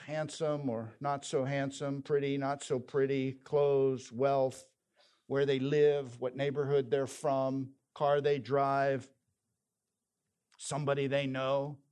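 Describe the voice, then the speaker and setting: A man's voice: a little high, darkish tone. A man preaching a sermon, telling a story and quoting scripture with emphasis. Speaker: pastor.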